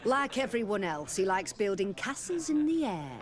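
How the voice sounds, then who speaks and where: A woman's voice: mid-range voice, sing-song, normal speed, lightly acted. A woman playing a sweet-talking tavern courtesan in a video game, coy, familiar and teasing. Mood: coy, confiding. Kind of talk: video game dialogue acting.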